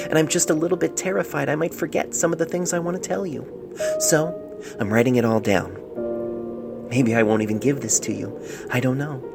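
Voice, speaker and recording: Man reading as a tender young mare in love. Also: close to an everyday voice. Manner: tender, wistful, lightened pitch. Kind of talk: letter read aloud in story. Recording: homemade phone-mic recording with music.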